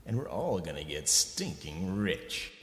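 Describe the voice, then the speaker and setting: A man's voice: deep voice, big pitch swings, fairly bright tone, exaggerated voice. A man playing a pushy, greedy record company executive in a comic radio play, with slick salesman patter. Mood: pushy, excited. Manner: fast-talking salesman patter with wheedling asides. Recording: echoey, long reverberant room sound.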